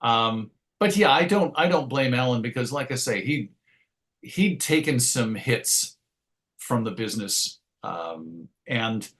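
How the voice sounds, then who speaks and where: A man with a mid-pitched voice answers as a comics writer in an interview. His delivery is conversational and reflective, wandering a little and doubling back to rephrase as he thinks. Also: lively intonation, slow, fairly bright tone.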